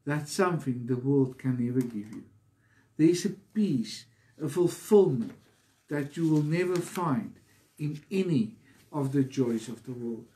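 Slow, mellow male preacher. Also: medium pitch, animated, rough recording. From South Africa. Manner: earnest, deliberate, pausing between short phrases. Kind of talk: devotional sermon.

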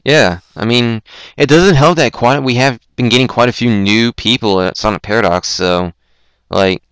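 A young man hosting a casual online show, rambling and self-deprecating, with lots of stumbling restarts and a throat that is going hoarse. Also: mid-range voice, normal speed, a bit bright, no room sound. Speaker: podcast host. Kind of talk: casual chat on a Skype call.

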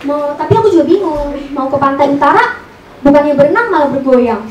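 A woman's voice: high voice, animated, brisk, recorded from a distance. A young woman performing a stand-up comedy routine as storytelling with punchlines. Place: small indoor comedy venue with audience. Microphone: handheld mic through speakers, recorded from audience. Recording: echoey room, off-mic camera audio.

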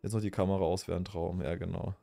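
A man's voice: sits low, steady tone, fast, gravelly, dark tone, soft background music. A young man, chatting casually to his stream chat while playing, thinking aloud and thanking viewers. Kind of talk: casual game commentary to chat. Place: small indoor room.

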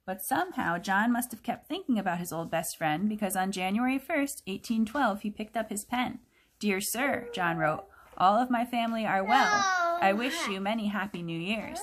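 A woman teacher reads a picture book aloud to children, expressive and explanatory. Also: mid-range voice, noisy background. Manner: expressive, explanatory, pauses to question listeners.

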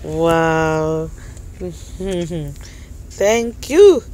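A middle-aged woman filming from inside a car going through a car wash, exclaiming with excitement and praising God. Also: deep voice, drawn-out words. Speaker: vlogger filming her husband. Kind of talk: casual phone vlog. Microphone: phone held at arm's length. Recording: loud car wash noise.

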